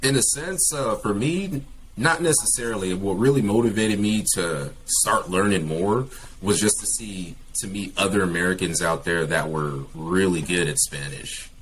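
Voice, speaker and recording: Young adult male guest, very deep voice, hesitant conversational delivery, faint room noise. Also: normal speed, fairly bright tone, rough recording. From California. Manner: hesitant, many fillers and restarts. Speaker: guest, English teacher. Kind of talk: casual interview talk.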